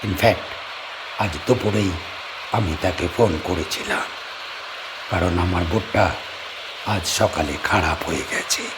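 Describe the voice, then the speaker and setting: A man's voice: deep voice, a little slow, pauses often, noisy background. A man acting as a polite, widowed guest-house owner living alone on an island, in an audio drama. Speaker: D'Souza.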